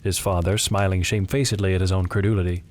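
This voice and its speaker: A man's voice: low voice, flat delivery, quick pace, darkish tone. A man reading scripted, dramatic story narration as the narrator of an audio drama.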